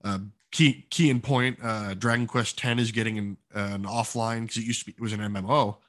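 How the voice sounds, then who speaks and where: A man's voice: lowish voice, fairly even intonation, brisk, a bit bright. A man co-hosting a gaming podcast, chatting casually and informally with enthusiastic, opinionated remarks. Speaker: podcast co-host.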